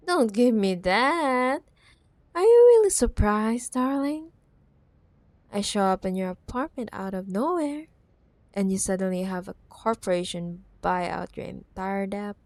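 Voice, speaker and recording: A woman's voice: mid-range voice, a little mellow, dry recording. A woman playing a possessive, teasing cyberpunk CEO ex-girlfriend in an ASMR audio roleplay, condescending, drawing out her words and pet names. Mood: mocking, teasing.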